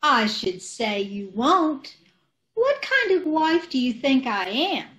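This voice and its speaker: A woman acting a scatterbrained, innocent-sounding wife in an old-time radio comedy, deadpan and unhurried, over a video call. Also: high-pitched, exaggerated voice. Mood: matter-of-fact. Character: scatterbrained, artfully innocent wife, mangles sayings. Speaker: amateur radio troupe actor.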